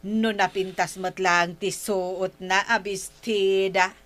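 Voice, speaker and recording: A woman's voice: medium pitch, flat delivery, takes it slowly, a bit bright. A woman with an Ilocano accent playing a jealous, nagging older wife who scolds indignantly in comic drama. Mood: gossipy, aggrieved. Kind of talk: broad comic drama acting.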